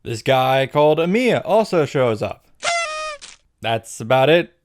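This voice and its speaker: Brief: male host for comic story recaps, sarcastic, irreverent, happy to swear, clipped punchy lines, medium pitch. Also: sing-song, unhurried, noisy background. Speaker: host of a visual novel summary series. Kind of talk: comedic recap narration.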